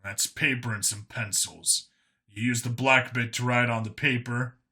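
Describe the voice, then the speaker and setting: A man's voice: mid-range voice, steady tone, a little slow, pushed and bright. A man voicing a wary human man in a narrated science fiction story, dry, evasive and a little awkward. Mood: dry, deadpan.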